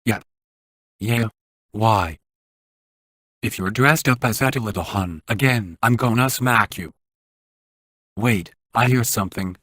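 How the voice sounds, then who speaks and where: A man's voice: fairly low pitch, slow, pauses often, fairly bright tone, dry recording. A man voicing a jumpy, reluctant everyday guy in a video game, with a hesitant, uneasy way of talking. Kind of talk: scripted game voice lines.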